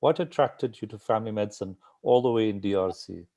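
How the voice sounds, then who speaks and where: Man hosting an interview, halting delivery. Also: fairly low pitch, mellow. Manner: halting, filler-heavy, thinking aloud. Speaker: interviewer, host. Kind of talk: informal interview, welcoming guests.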